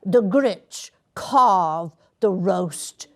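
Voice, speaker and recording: A woman reading a children's story aloud in an expressive, theatrical way, with dramatic emphasis and long pauses. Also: fairly low pitch. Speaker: guest storyteller and academic dean. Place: elementary school classroom with children.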